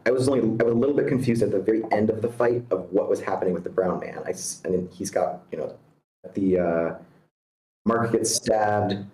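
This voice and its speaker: A low-pitched man talks through casual, constructive notes on a script. He is halting, thinking aloud as he goes, with a roomy echo on his voice. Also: mellow. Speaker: screenplay reader giving feedback. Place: online video meeting.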